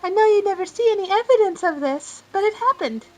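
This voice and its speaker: A woman's voice: very high voice, animated, fairly quick, darkish tone, pitched above the performer's own voice, low-quality recording. A woman voicing a sweet, polite young girl rabbit in a fan-made cartoon question show. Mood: polite, earnest.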